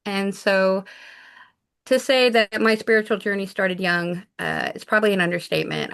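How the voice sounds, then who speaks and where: A woman guest in a casual interview recounts her past in an earnest, reflective manner. Her voice sits at a middle pitch with a gravelly, creaky edge. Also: a bit bright. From Japan. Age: adult mother of four. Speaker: guest, shaman.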